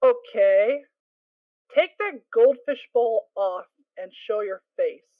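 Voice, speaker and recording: A woman's voice: a little high, slow, no echo. A woman playing a wary stallion guard pony who gives curt, suspicious commands. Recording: tinny phone-like sound.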